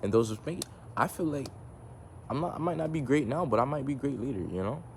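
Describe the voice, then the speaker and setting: A man's voice: mid-range voice, a little fast, gravelly, a little hiss or hum. A man vlogging casually into his phone outdoors, rambling and reflective, full of restarts and fillers. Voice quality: can go monotone. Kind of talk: casual talk to camera. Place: outdoors in the sun. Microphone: phone camera.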